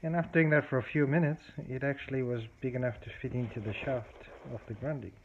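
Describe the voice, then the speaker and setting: A man casually demonstrating a tape recorder repair at his workbench, explaining step by step in a halting way, with many fillers and restarts. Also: medium pitch, fairly even intonation. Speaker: hobbyist repairer. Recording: dull, lo-fi audio with clear background noise and clicks.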